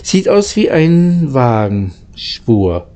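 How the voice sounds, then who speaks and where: A man voicing a knowing, good-natured engine driver in a storybook, explaining things in an unhurried, halting way. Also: mid-range voice, very animated, warm tone, exaggerated voice. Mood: matter-of-fact.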